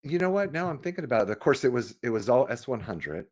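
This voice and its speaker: A man co-hosting a casual chat about computer history, haltingly thinking out loud as he goes. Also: mid-range voice, very animated. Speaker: podcast co-host.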